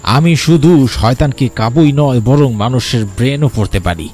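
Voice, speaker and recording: A man's voice: mid-range voice, moderate pace, darkish tone, faint music behind, lightly acted. A man voicing a domineering, lecherous demonic doctor who practises sorcery, threatening and gloating in a horror cartoon. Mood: menacing, boastful. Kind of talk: dramatized horror cartoon story.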